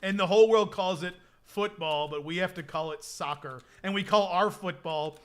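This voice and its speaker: A Midwestern American man streaming in loose, rambling chat, often starting his sentences over. His voice is bright and sits high. He chuckles between thoughts. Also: normal speed. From United States. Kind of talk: casual live-stream chat.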